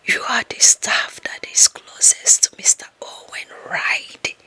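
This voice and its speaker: A woman with an African accent playing a possessive, lovestruck young office employee in a breathy, whispered ASMR roleplay, coaxing and fawning with slow emphasis. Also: sharp tone. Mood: conspiratorial coaxing.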